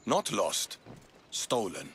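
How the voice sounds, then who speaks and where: An actor playing a stern, suspicious Spartan army officer in a video game cutscene, with a clipped, commanding manner. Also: male voice, on the low side, sing-song, extremely slow, fairly bright tone, a little hiss or hum, exaggerated voice. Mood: stern, menacing. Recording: game dialogue over background music.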